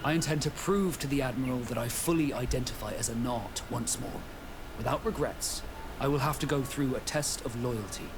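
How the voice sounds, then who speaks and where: Man acting loyal sailor, earnest, determined, video game dialogue, mid pitch, noticeable background noise. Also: normal speed, natural voice. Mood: earnest, resolute. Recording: noisy game capture.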